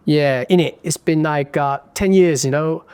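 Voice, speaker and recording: A man's voice: a little high, normal speed, darkish tone, clean tone, no echo, natural voice. A man putting on a casual, streetwise South London man with a clipped South London accent. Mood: laid-back.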